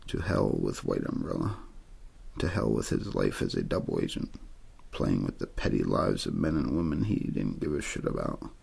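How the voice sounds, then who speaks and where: A man reads a story aloud in a quiet ASMR style. His voice sits extremely low and is thick with fry, very husky and breathy. The delivery is understated and untheatrical, like an amateur reading at bedtime. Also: sing-song, normal speed.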